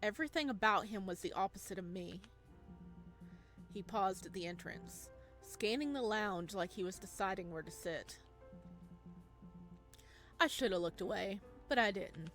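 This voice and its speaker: A woman's voice: mid-range voice, moderate pace, long pauses, a bit bright, soft background music, lightly acted. A woman reading the part of an anxious, worn-out young man who narrates his life in an introspective, hesitant way in a visual novel. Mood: awed, nervous.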